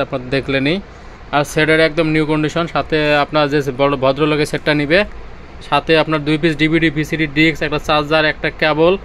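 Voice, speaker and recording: A man with a Bangladeshi accent giving an informal sales demonstration, often halting and repeating his phrases. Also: a little high, a bit bright. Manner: repetitive, halting, with restarts. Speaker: seller demonstrating used electronics.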